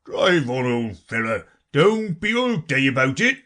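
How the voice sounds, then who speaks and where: A man voicing a gruff, impatient male gryphon in a storybook, blunt and scornful, scolding and exclaiming. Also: mid-range voice, very animated, measured pace, pushed and bright, voice pushed up. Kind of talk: storybook character voice.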